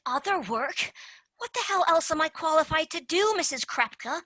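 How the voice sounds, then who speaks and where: A woman's voice: high-pitched, expressive, moderate pace, bright, forceful tone. A woman playing a casual, earnest tooth fairy field agent in an amateur radio play. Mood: upset, pleading.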